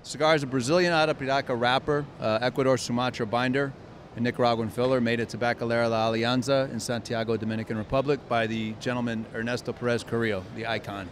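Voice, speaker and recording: A man speaking quickly and plainly, reeling off details of his company's new cigar. Loud crowd noise from the busy convention floor sits behind him, and his voice has a mid-range pitch. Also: fairly even intonation. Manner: quick, matter-of-fact product rundown. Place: large indoor convention hall. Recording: noisy trade show floor.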